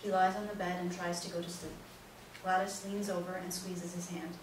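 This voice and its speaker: A woman's voice: fairly low pitch, flat delivery, a little fast. A woman reading stage directions as scripted narration for a play reading. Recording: echoey room, distant mic, noisy.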